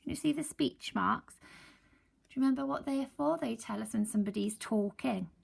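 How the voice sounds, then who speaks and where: A woman primary school teacher reads a picture book aloud to her class. She pauses for gentle, soft asides to ask the children questions. Her voice has a light gravelly texture. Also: fairly high pitch.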